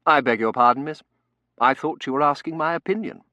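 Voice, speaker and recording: A man voicing an impassive, blunt manservant in an audiobook, formal, deliberate and coolly disdainful. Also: medium pitch, bright, forceful tone, dry recording, dull audio, voice pushed up, lightly acted. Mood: impassive, polite. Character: impassive, blunt, deferential manservant with educated contempt. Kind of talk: audiobook character voice.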